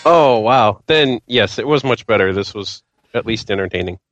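A man's voice: on the low side, lively intonation, normal speed, fairly bright tone, no echo, lo-fi sound, faint music behind. A man chatting casually as a podcast panelist, with a wry, mildly sarcastic way of talking. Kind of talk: casual chat.

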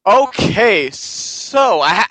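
A man's voice: sits high, big pitch swings, drawn-out words, bright, forceful tone, no echo, rough recording. A man talking casually and without preparation about games as a podcast panelist, rambling and easily flustered. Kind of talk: casual unprepared game review chat.

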